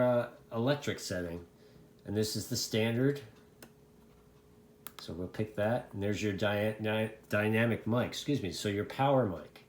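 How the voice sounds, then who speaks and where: A man giving a casual hands-on gadget review, haltingly thinking aloud as he demonstrates. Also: on the low side, slight background noise. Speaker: product reviewer. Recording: echoey, roomy sound.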